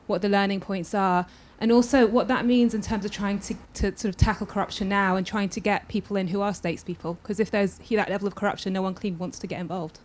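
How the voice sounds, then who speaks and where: A woman from Iraq stands up in the audience to ask a question. She speaks very fast and articulately, with a few small restarts. Faint ambient noise sits behind her voice. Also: mid-range voice, a little mellow. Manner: quick, articulate, slightly hesitant. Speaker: audience member asking a question.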